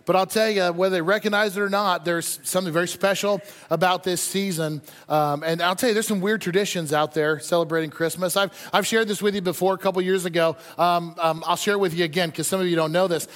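High-voiced male pastor in an echoey hall. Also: steady tone, fast talker, hardly any pauses, a bit bright. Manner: informal, repeats phrases for emphasis. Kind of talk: conversational sermon to a congregation. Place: large indoor church hall. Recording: echoey hall with long reverb.

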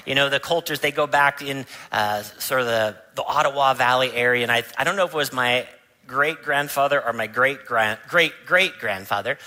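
A man's voice: medium pitch, bright, forceful tone. A man, a pastor, giving a sermon in a church. He tells a family story, then rises to emphatic preaching. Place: church sanctuary.

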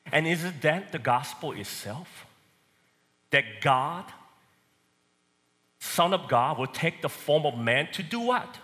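A man from Korea with a Korean accent, preaching a sermon and swinging between loud and soft emphasis. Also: mid-range voice, big-room sound.

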